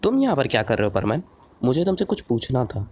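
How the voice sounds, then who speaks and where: A man playing an earnest, excitable boy superhero in a cartoon dub, pleading and repeating himself when flustered. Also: medium pitch, quick pace, a little hiss or hum, natural voice. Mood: hesitant, pleading.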